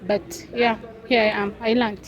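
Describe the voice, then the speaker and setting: Young woman, Arabic-English, measured and reflective interviewee. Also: fairly high pitch, little pitch movement, muffled recording, a crowd in the background. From South Sudan. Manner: thoughtful, unhurried, with pauses and fillers. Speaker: street interviewee. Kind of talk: casual interview answers.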